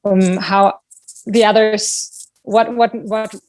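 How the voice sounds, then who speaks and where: A woman with a German accent chatting casually in an interview as a band singer, halting as she searches for words. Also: medium pitch, fairly even intonation, darkish tone, no room sound, low-quality recording.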